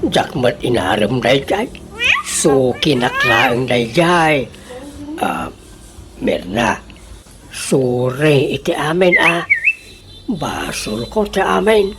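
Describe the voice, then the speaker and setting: A man's voice: medium pitch, big pitch swings, extremely slow, pauses often, exaggerated voice. A man playing a pleading, hesitant father in a comedic radio drama. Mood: sheepish, pleading. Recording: very noisy radio drama track.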